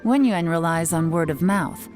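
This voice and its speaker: A woman voicing a cool, shrewd young intelligence chief in a video game, speaking in a measured, confident, instructive way. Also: lowish voice, darkish tone, soft background music, close to an everyday voice. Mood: composed. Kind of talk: video game character voice acting.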